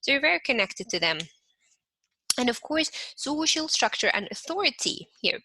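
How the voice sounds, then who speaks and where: A woman teaches a class in a conversational way, explaining with everyday examples and checking in with "right?" Her voice is bright and clear, and it sounds close and dry with no room echo. Her speech has many fillers and restarts. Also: high voice, very animated, moderate pace. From Egypt. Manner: talks to listeners as "you" and checks in with "right?". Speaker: instructor. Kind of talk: lecture to a class.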